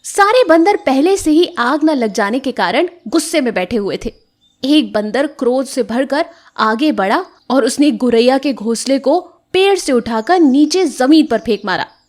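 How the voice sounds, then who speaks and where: High, lively woman storyteller. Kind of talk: scripted children's moral story narration.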